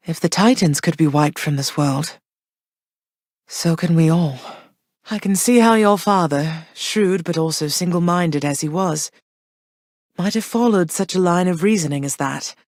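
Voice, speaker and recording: Regal underworld goddess mother, low-pitched, dry close sound, measured and earnest. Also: a little mellow, no room sound. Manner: measured, earnest, formal phrasing. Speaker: voice actor. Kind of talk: game voice lines.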